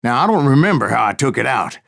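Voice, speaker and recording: A stubborn old gunslinger from a Western video game. His voice is full of gravel and fry, with a folksy American West drawl. He tells his tall tales like a cantankerous, foul-mouthed saloon storyteller. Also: medium pitch, sing-song, fast talker, a bit bright. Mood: irritated, tipsy. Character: gruff, stubborn old Wild West bounty hunter spinning tall tales. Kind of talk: storytelling narration, rambling saloon yarn.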